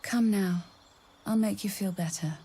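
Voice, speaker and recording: An actress playing a mysterious, seductive woman who visits dreams, coaxing softly and intimately in a video game. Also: mid-range voice, measured pace, slight background noise, close to an everyday voice. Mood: seductive, soothing. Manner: breathy, coaxing, intimate, often whispering. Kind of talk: video game dialogue acting.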